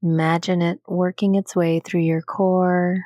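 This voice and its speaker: Female meditation guide, soothing and gentle, lowish pitch, very unhurried delivery with generous pauses. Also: little pitch movement, no echo, studio quality.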